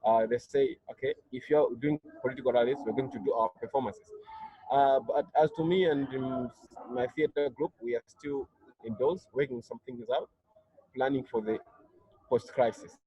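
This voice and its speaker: A young man with a Malawian accent, speaking as a guest in an interview in a soft, hesitant way over a video call. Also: mid-range voice, fairly even intonation, moderate pace, slightly rough, no room sound, muffled recording. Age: young adult. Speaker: guest artist.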